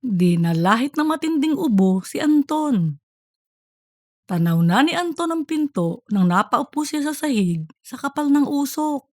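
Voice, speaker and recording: A woman reads a scripted story as its narrator. Her voice sits fairly high, and the sound is dry with almost no room in it. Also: very animated, unhurried, a little mellow, dry recording. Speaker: audiobook narrator.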